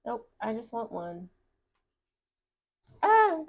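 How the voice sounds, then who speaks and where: A woman talks through a tarot reading in a casual, encouraging way, reassuring the listener as she goes. Her voice has a slight raspiness from talking a long time. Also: on the high side, big pitch swings, very slow, a little hiss or hum. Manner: gentle, encouraging, reads card text aloud. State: voice getting raspy. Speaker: tarot reader.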